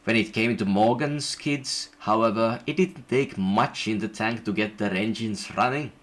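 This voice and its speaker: A man reading an illustrated storybook aloud in an even, gentle narration. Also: on the low side.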